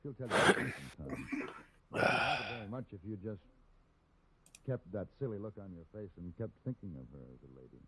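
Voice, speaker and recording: An actor playing a calm, polished gentleman gunfighter in a 1950s radio drama, speaking in a measured, commanding way. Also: on the low side. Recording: muffled old radio recording with clear hiss.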